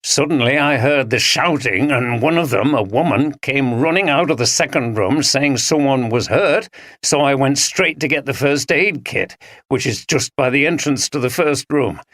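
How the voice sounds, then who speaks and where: A man's voice: mid-range voice, normal speed, a bit bright, dry recording, voice pushed up, lightly acted. A man voicing a jumpy, nervous male actor who talks agitatedly.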